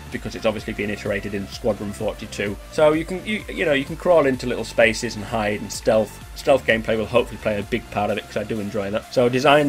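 A man with a blocked-up cold, chatting casually through a weekly roundup of game news as the show's host, rambling with fillers and restarts. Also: mid-range voice. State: congested with a cold.

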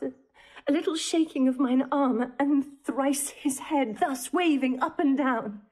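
A woman's voice: high-pitched, darkish tone. An actress playing a frightened, obedient young noblewoman, breathless and distressed, in a classical Shakespearean audio drama.

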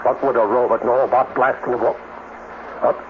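A man's voice: mid-range voice, steady tone, a little fast, natural voice. A man playing an irritable, self-important robotics director in a 1950s radio drama, pompous and demanding. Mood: impatient, astonished. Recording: tinny, noisy 1950s radio recording.

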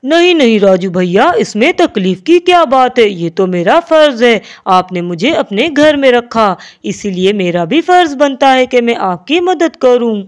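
A woman's voice: a little high, very animated, measured pace, hardly any pauses. Scheming male crow for a cartoon, played by a woman, wheedling swindler who plays humble. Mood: ingratiating.

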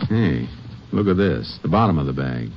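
A man playing a calm, methodical Texas Ranger in an old-time radio drama, speaking in laconic, folksy, clipped sentences. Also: rumbling low, moderate pace, heavily acted. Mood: calm, deducing. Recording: dull, noisy old-time radio recording.

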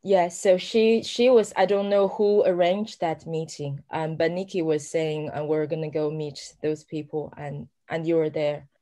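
A woman's voice: sits low, flat delivery, measured pace, hardly any pauses, warm tone. Chatty woman. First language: Chinese. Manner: rambling, many fillers and restarts, laughs often. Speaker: author guest. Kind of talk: casual conversation.

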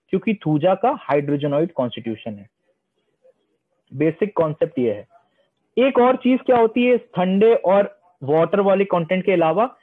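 A young man teaching homeopathy, reading English passages slowly and then explaining them, often answering his own questions. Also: sits high, husky. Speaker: homeopathy lecturer. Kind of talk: online lecture mixing Hindi and English. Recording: tinny phone-line sound.

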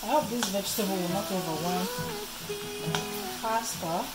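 A woman talks casually to viewers as a home cook, thinking aloud while she works. Loud music plays along with her voice in a kitchen recording. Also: mid-range voice, moderate pace, low-quality recording. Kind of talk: casual cooking vlog chat.

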